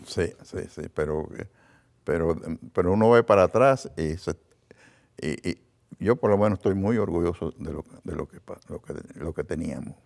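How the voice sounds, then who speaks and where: A man, a television producer and guest, speaking earnestly with halting restarts. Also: deep voice, a little mellow. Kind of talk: casual conversation.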